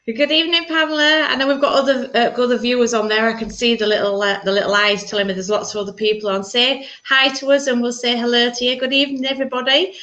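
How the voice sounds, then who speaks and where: A woman's voice: a little high, steady tone, fast, few pauses, pushed and bright, dull audio. A woman with a Yorkshire accent hosting a church livestream, chatty and rambling, laughing often. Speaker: church service host.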